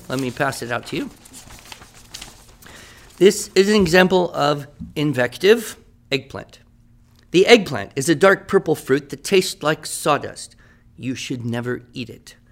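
A man playing a patient writing teacher who gives clear, encouraging instructions. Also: on the high side. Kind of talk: amateur radio drama acting.

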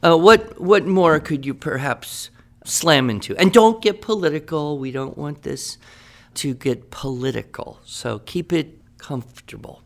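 A man's voice: on the high side, animated, unhurried, gravelly. A man playing a writing teacher who leads a class in an upbeat, encouraging and wordy way. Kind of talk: scripted classroom radio drama.

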